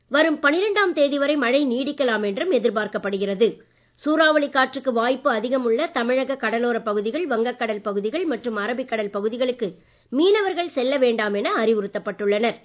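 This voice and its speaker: A woman newsreader reading a regional radio bulletin in a formal, measured way. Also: on the high side. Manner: measured, even delivery. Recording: dull lo-fi radio broadcast.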